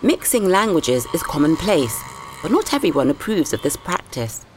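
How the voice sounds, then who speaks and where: A reporter giving scripted news narration in a clear, authoritative way. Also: mid-range voice, sing-song, moderate pace, noisy background.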